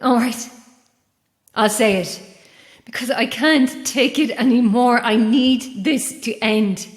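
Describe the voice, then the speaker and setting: High-voiced haunted lighthouse keeper. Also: female voice, slow pace, roomy sound, long reverb tail. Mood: weary confession. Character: anguished, defiant female lighthouse keeper, alone and guilt-ridden. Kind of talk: horror audio drama acting.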